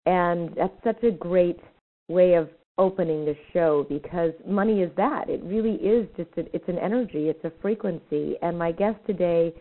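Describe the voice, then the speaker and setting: A woman's voice: fairly low pitch, normal speed. A woman hosting a spiritual talk show, effusive and a little rambling as she interviews a guest. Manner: warm, effusive, slightly rambling. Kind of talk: radio interview. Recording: thin phone-like line.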